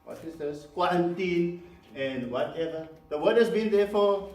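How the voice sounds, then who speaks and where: Man, South African accent, Afrikaans native speaker, seminar presenter, chatty with fillers, higher voice, distant mic, echoey room. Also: measured pace, a little mellow. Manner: conversational, many fillers and restarts, asks the audience questions. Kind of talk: live seminar talk to a room. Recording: echoey room, mic far off, faint background noise.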